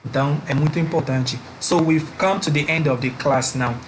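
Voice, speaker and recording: A male teacher wrapping up a recorded online lesson, speaking hesitantly with frequent pauses and fillers. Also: fairly high pitch. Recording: loud background noise with clicks.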